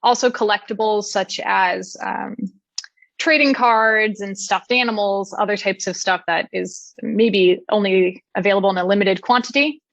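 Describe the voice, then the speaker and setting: A woman's voice: mid-range voice, fairly even intonation, normal speed, a bit bright, dry recording. Woman presenting a talk, dry muffled sound. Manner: clear explanatory delivery with frequent "uh" and "um". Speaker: conference speaker. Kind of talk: technical conference presentation.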